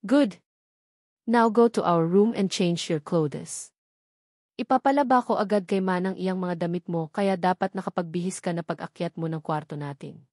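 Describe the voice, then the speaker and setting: A woman's voice: fairly low pitch, moderate pace, a little mellow, no room sound, clean studio sound, lightly acted. A Filipino woman voicing a jealous, controlling young husband in a novel reading, with curt commands. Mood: stern, commanding.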